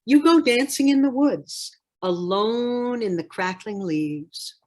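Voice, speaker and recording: Slow, highly expressive woman reading a storybook. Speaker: storybook reader. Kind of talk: expressive picture-book read-aloud.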